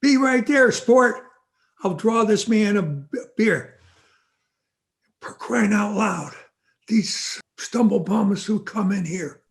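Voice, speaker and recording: Man playing a slow-talking, grumbling barkeep. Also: sits high. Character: grumbling, put-upon male bartender in a noir bar. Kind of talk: 1940s radio drama acting.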